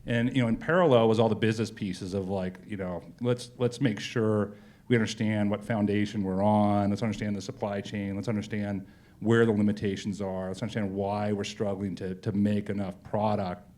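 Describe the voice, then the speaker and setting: A man's voice: fairly low pitch, fairly even intonation, normal speed, a little mellow. A man speaking as a company executive in a casual on-stage interview, telling colloquial stories with frequent asides.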